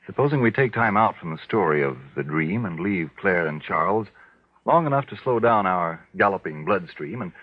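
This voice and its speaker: A formal male announcer delivers narration and sponsor copy in old radio style. His low, husky voice is emphatic and swings widely in pitch, on a muffled recording. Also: expressive, moderate pace, no room sound. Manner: formal, emphatic, polished salesmanship.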